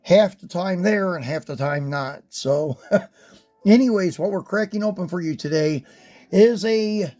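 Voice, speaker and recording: A man who is hoarse from a sinus infection, chatting casually and rambling as he hosts a toy car unboxing, with many ums and uhs. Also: high voice, unhurried, warm tone. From West Virginia, USA. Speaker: die-cast collector and channel host.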